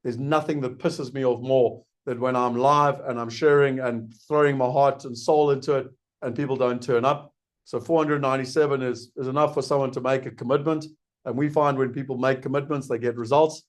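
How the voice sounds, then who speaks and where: A middle-aged man with a South African accent, hosting a live webinar and persuasively pitching an investment course, answering common questions himself. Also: fairly even intonation, fairly quick. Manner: earnest, persuasive, asks and answers his own questions. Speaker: investment program host.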